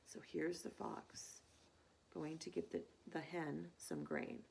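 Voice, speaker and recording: A woman reads a children's story aloud like a teacher with her class. Her voice is fairly low with a creaky edge, and she moves slowly and expressively. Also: slight background noise, rough recording. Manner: expressive, deliberate.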